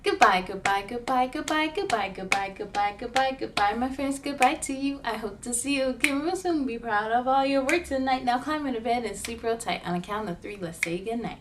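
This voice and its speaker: A woman's voice: on the high side, brisk, hardly any pauses, background noise. A woman hosting a read-aloud for young children, telling picture-book stories expressively and speaking straight to her listeners.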